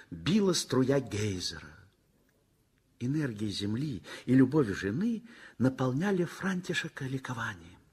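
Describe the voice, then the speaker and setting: Husky male radio-play narrator, lively delivery. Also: a little high. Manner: measured, expressive storytelling. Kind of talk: scripted literary narration, 1960s radio theatre.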